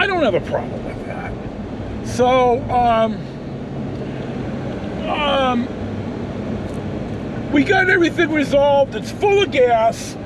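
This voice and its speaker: High-pitched male voice, American accent, middle-aged, rambling casual vlogger, halting and blunt, faint steady vehicle noise. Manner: halting, blunt, mildly profane. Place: inside a pickup truck on the road.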